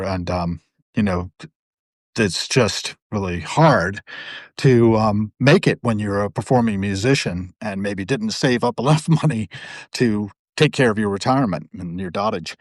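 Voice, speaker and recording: A man's voice: lowish voice, normal speed, no echo, clean studio sound. A middle-aged man hosting a casual live video chat, talking in long, rambling, digressive stretches. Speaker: host. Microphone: video call.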